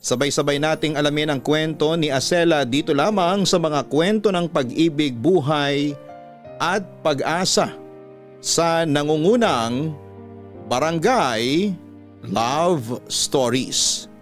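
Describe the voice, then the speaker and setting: A man with a Filipino accent narrating listener letters as a radio host, in a measured, emotive scripted style. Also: fairly high pitch. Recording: music bed under voice. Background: music.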